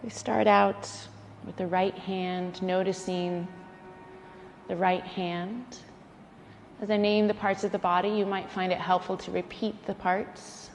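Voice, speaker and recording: A woman guides a relaxation practice at a very slow pace, with gentle, soothing phrasing and pauses between instructions. Her medium-pitched voice rings in a long reverb, over faint background noise. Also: little pitch movement, vocal fry. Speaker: yoga nidra instructor.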